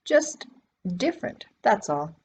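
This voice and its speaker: A woman's voice: fairly high pitch, sing-song, slow, a little mellow, slight background noise, voice pushed up. A woman reading a storybook in the part of a resigned, politely reluctant thirteen-year-old girl. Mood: defensive.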